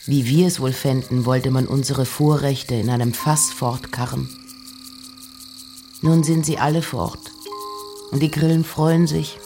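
A reader delivering letters and poems in a measured, hushed and breathy voice, with deliberate pauses. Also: medium pitch. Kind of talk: literary reading of letters and poems.

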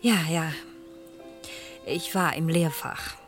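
Low-voiced, dry older lady. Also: takes it slowly, faint music behind. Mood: dry, wry. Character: older retired schoolteacher lady, dryly sardonic. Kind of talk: 1970s German radio play acting.

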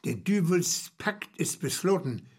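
A man playing a husky storyteller. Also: a little high, very animated, measured pace, a little mellow, exaggerated voice. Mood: brisk. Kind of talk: radio play dialogue.

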